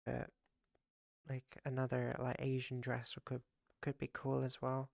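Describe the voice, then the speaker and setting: A live streamer with a raspy, groggy morning voice, chatting casually with viewers and rambling with many fillers and restarts. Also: medium pitch, monotone, unhurried, darkish tone, no echo, clean studio sound.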